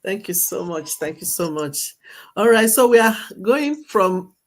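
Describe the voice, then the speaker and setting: A woman's voice: mid-range voice, animated, a little slow. A woman hosting a live stream, handing over to each guest and repeating her thanks. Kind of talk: moderating introductions.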